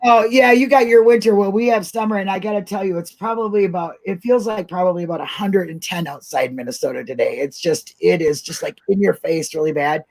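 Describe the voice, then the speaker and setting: A woman's voice: fairly low pitch, brisk, hardly any pauses, darkish tone. A middle-aged woman hosting a casual live online discussion, chatting in a colloquial, rambling way. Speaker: host of an online talk show.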